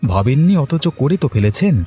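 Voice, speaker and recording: Man playing a hot-headed, mellow-voiced young villager. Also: medium pitch, very animated, brisk, lightly acted. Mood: furious accusing. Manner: accusing, blustering, confrontational. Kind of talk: cartoon story dialogue. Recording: music under the voice.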